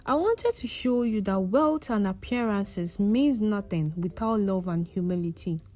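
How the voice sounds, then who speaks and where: A stern man posing as a grand suitor in a village folktale, acted out by a woman narrator. He makes cold, measured declarations at a slow pace. The tone is dark and mellow over faint music. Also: mid-range voice, close to an everyday voice. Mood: stern, revealing. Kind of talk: folktale storytelling dialogue.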